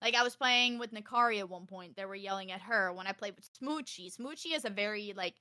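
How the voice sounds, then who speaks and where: A young woman from Texas chatting on a podcast, loud and animated, telling stories fast with a close mic. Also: medium pitch, dry recording. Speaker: podcast guest, streamer. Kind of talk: casual podcast chat.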